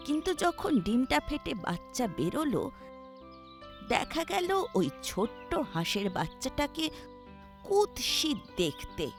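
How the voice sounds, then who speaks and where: A woman narrating a scripted children's story with an expressive storybook delivery. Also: mid-range voice.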